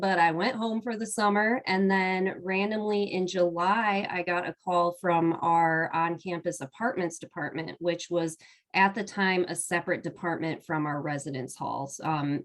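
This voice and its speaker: A woman's voice: fairly low pitch, fairly bright tone. A young woman with a stuffy, congested voice from a cold, telling a chatty personal story on a webinar panel with frequent ums and laughs.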